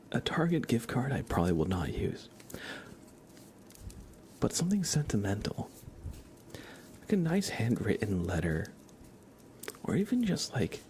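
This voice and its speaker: Low-voiced man in hushed ASMR chat. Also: slight background noise. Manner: rambling, playful asides to viewers. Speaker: livestream host. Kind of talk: casual ASMR livestream chat, often whispered.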